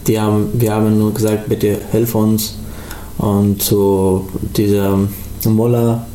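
A young man from Afghanistan, speaking German as an as a guest in a radio interview, halting and full of restarts. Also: fairly low pitch, level tone, warm tone, roomy sound, a little hiss or hum, rough recording. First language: not German. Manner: halting, many restarts and fillers. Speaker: studio guest.

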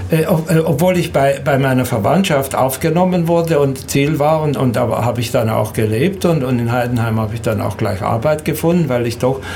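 An elderly man who grew up in Serbia and Hungary, recounting his life in an interview in a halting, hesitant way with repeated words. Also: mid-range voice, gravelly, a little mellow, slight background noise. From Danube Swabian from Serbia and Hungary. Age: 70s or older. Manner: halting, hesitant, repeats words, often ends with a questioning tag.

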